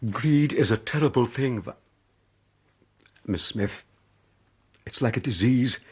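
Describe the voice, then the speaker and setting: An actor in a British radio drama playing a guilty middle-aged gentleman schemer, remorseful and lovelorn, with a husky British voice and a halting, earnest manner. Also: medium pitch, lively intonation. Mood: remorseful pleading.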